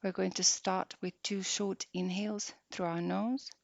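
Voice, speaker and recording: A woman guiding a meditation practice softly and unhurriedly, leaving long pauses between short phrases. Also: mid-range voice, level tone, gravelly, no echo. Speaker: yoga nidra meditation guide. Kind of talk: slow guided meditation. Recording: dull lo-fi close dry recording.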